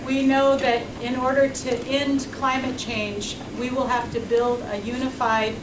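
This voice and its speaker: Steady higher-voiced woman, roomy hall. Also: a little slow. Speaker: organization representative. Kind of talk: prepared statement. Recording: echoey distant hall recording with noise.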